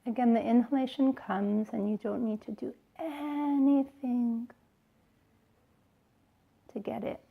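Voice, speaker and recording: Gravelly-edged woman guiding slow relaxation. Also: on the high side, fairly even intonation, narrow, tinny audio. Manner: soothing, gentle, unhurried phrasing with pauses. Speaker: yoga teacher leading guided relaxation. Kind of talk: slow guided relaxation instruction.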